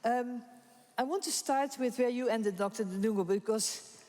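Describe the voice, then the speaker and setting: A woman's voice: fairly high pitch, normal speed, a little mellow. Woman, panel moderator, casual and chatty, echoing hall. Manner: chatty, informal, laughs easily. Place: conference room with audience. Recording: reverberant conference hall.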